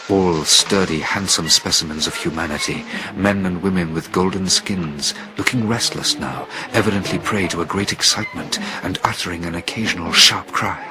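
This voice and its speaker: Low-voiced man narrating dramatically over music. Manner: theatrical, suspenseful, measured delivery with deliberate phrasing. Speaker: audiobook narrator. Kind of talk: dramatic first-person story narration. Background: music under the voice.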